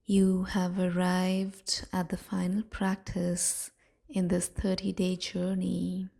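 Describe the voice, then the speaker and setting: Woman, meditation guide, lowish voice, creaky edges, hushed and soothing, long pauses. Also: monotone.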